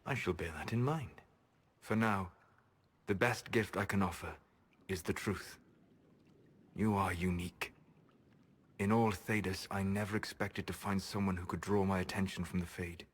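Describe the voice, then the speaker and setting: An actor playing a grave, gentle elven mage in a video game, speaking softly in measured, formal, solemn phrases with a melancholy wisdom. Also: male voice, low voice, fairly even intonation, breathy edge. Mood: tender. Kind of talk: dramatic video game acting.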